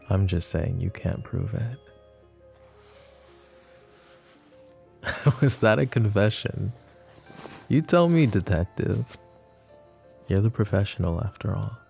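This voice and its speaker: A deep-voiced, smug mafia boss acted by a man, flirty and possessive in an ASMR roleplay. He drawls slowly and intimately, mocking and teasing, with a gravelly fry in his voice. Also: mellow, soft background music, heavily acted.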